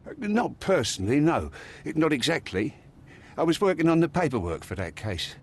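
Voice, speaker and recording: A sneering husky cop. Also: male voice, fairly high pitch, big pitch swings, moderate pace, exaggerated voice. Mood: curious. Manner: sneering, scornful. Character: gruff, contemptuous male police officer.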